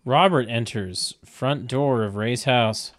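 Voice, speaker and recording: A man's voice: mid-range voice, takes it slowly. A man reading stage directions at a comedic table read, with wry, mocking asides.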